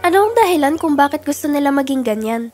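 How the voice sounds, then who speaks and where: A woman playing a polite, sheltered young princess in an animated fairy tale. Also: high-pitched, fast talker, faint music behind. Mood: curious, polite.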